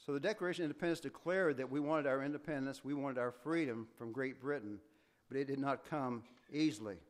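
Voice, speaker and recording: Soft-voiced older preacher. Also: male voice, mid-range voice. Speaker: guest preacher. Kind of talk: sermon. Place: church sanctuary.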